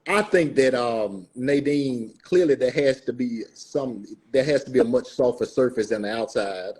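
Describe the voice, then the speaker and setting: Casting: male actor as talk guest, mid-pitched husky voice, measured and reflective delivery. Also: a little mellow. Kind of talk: conversational interview answer.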